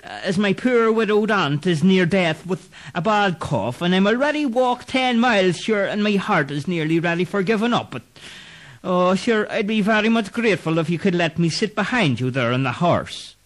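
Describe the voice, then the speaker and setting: Frantic drunkard, shrill, very high, wheedling. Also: male voice, lively intonation, moderate pace. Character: panicky drunken man, terrified and pleading.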